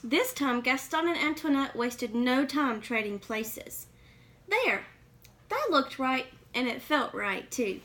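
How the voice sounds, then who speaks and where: A woman's voice: high-pitched, expressive, takes it slowly, a bit bright. A woman reading a children's picture book aloud expressively, with questioning asides to young listeners. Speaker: read-aloud reader.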